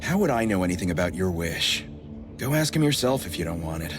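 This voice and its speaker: A guarded, brusque anime game man. His lowish voice is animated yet curt and grumpy, with music clearly behind it. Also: brisk. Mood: dismissive. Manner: curt, blunt.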